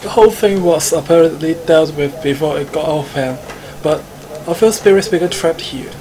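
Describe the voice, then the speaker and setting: A man voicing a calm protagonist in a live visual novel reading, measured and explanatory. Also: on the high side, lightly acted, low-quality recording. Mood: explaining. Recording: clear music behind the voice.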